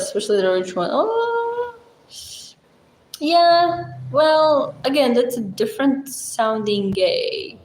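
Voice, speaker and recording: Moroccan-accented woman, livestream host, deep-voiced, blunt and chatty, unhurried, roomy echo. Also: a little mellow, slight background noise. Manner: chatty, blunt, laughs often, thinks aloud. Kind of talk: casual livestream chat reading comments.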